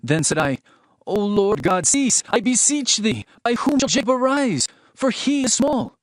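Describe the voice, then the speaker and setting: A man's voice: high voice, big pitch swings, a little slow, dry recording. A man playing an earnest herdsman prophet, solemn and declamatory, in a dramatized scripture reading. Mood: pleading. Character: Amos, earnest male herdsman prophet proclaiming judgment. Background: music.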